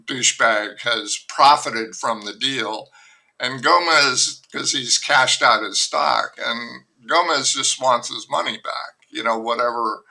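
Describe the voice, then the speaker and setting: An older man, a novelist guest, talking in a rambling, wry way with many fillers and restarts in a relaxed podcast conversation. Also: medium pitch, gravelly, poor audio.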